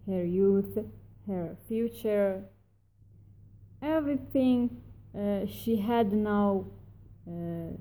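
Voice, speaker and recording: A woman speaks slowly with a soft, mellow tone. She picks her words carefully and pauses often as she works through her thoughts. She sounds reflective and keeps asking questions. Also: medium pitch. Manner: halting and careful, pausing often to find words. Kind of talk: recorded spoken reply about a story.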